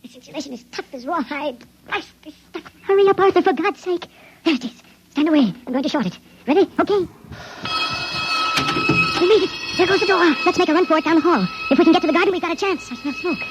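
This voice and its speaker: High, rushed tiny fugitive. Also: female voice. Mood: urgent, panicked. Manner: urgent, clipped, breathless exclamations. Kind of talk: 1950s radio drama acting. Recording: lo-fi old radio, faint noise.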